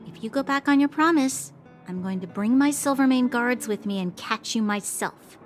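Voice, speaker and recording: A woman voicing a poised, dignified young female commander in formal, measured game dialogue. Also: on the high side, faint music behind, lightly acted. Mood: composed.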